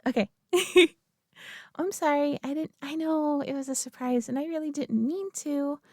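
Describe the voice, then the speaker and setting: A woman's voice: high voice, moderate pace, no room sound, studio quality, natural voice. Woman playing a giggly, stammering young girlfriend. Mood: sweet, nervous. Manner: giggly, stammering, soft-spoken. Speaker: audio roleplay performer. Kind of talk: girlfriend roleplay addressing the listener.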